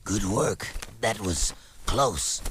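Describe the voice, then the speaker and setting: A man voicing a stern desert ruler in a video game, speaking with measured, grave authority. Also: fairly low pitch, animated, a bit bright, slight background noise, heavily acted. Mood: approving. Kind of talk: animated video game voice acting. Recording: music behind the voice.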